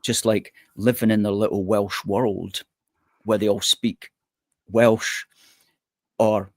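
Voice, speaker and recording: A man from Scotland talking as a guest in a casual political chat, measured and thoughtful. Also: lowish voice, flat delivery, no echo.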